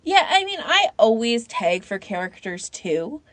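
A woman's voice: fairly high pitch, sing-song, measured pace, a bit bright, no room sound. Casual, opinionated woman podcaster, dry close sound. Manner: informal, opinionated, frequent "like". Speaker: podcast co-host. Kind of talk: casual chat.

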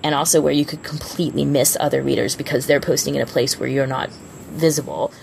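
Female podcast co-host, casual and rambling, deep register with strong creak. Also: steady tone, fast talker, darkish tone, a little hiss or hum. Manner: informal, rambling, self-interrupting. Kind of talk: casual fan podcast chat.

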